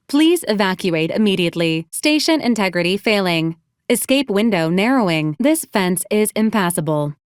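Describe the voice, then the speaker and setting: A woman voicing a polite, literal robot assistant in a video game, with formal, clipped, deadpan delivery. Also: medium pitch, dry recording, clean studio sound, close to an everyday voice. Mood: urgent warning, then matter-of-fact, deadpan. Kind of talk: scripted video game character lines.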